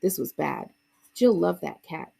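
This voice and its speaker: A woman's voice: on the low side, lively intonation, measured pace, gravelly, mellow, slightly rough. A woman, a librarian reading a children's book aloud with casual asides.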